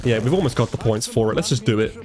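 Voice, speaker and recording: A man giving casual live commentary over gameplay, a bit congested from a cold, chatty and reacting out loud as he plays. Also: medium pitch, fast talker. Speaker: gaming commentator.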